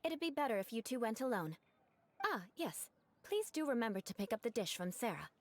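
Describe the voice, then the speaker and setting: Aloof, teasing young female knight; fairly high, expressive, game score under. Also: fairly quick. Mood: wry. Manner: cool, wry and teasing. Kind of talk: video game voice acting. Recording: game dialogue over music.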